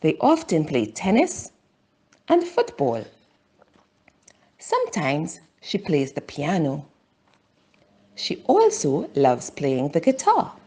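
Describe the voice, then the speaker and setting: A woman reads a children's story for language learners in deliberate short phrases. Her pace is very slow and her intonation swings widely, with a breathy, husky edge to the voice. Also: low-pitched, wildly swooping pitch, warm tone. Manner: deliberate, simple phrasing with pauses.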